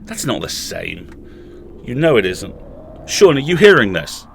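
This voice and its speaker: A man's voice: medium pitch, sing-song, unhurried, creaky, fairly bright tone, a little hiss or hum, heavily acted. Give me a man voicing a furious, desperate podcast host held captive, talking with raw intensity in an audio drama. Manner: intense, raging, pleading.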